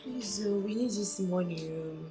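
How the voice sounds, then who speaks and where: An actress playing a weary, pleading house helper in a film drama. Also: female voice, mid-range voice, a little slow, natural voice. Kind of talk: low-budget film drama acting. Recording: loud music over dialogue.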